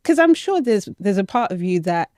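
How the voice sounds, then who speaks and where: A woman's voice: mid-range voice, very animated, normal speed, a little mellow, no room sound, clean studio sound. A woman interviewer speaking conversationally, putting informal, loosely phrased questions to her guest.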